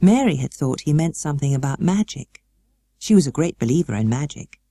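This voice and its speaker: Mellow, expressive British woman storyteller. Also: low-pitched, brisk, no room sound. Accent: British English. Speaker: audiobook narrator. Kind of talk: expressive scripted storybook narration.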